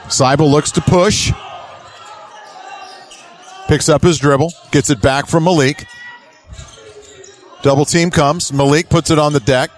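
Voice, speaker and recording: A man doing live basketball play-by-play in clipped running phrases, with emphatic calls. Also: on the high side. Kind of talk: live sports commentary. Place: courtside at an indoor basketball game.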